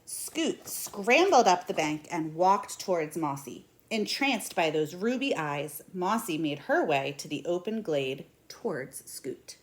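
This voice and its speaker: A woman in her thirties reading a picture book aloud in an expressive, deliberate way. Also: fairly low pitch. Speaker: read-aloud storyteller. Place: small indoor room at home.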